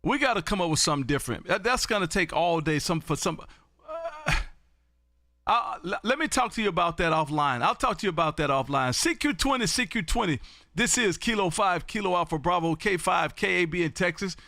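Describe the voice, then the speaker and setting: An American man hosting a live amateur radio session, chatting and calling for contacts in an animated, folksy, booming way. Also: a little fast, gravelly, no room sound, studio quality.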